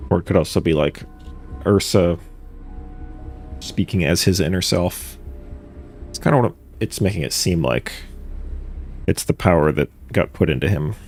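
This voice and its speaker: A man's voice: sits low, moderate pace, pauses often, darkish tone, soft background music. A young adult man streaming a game, casually musing in offhand commentary. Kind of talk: casual live commentary over gameplay.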